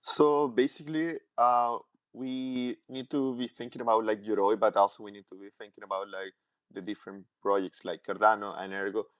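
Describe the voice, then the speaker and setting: A man's voice: fairly low pitch, little pitch movement, no room sound, poor audio. A man from Chile, a tech executive giving a casual technical explanation, full of "like" and "uh" fillers. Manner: halting, heavy use of "like" and "uh" fillers. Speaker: tech company CTO, podcast guest.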